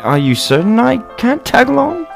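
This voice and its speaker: A man playing an eager teenage vampire little brother in a fantasy audio drama, excitable and wheedling. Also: very high-pitched, moderate pace, creaky, faint music behind, heavily acted. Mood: pleading.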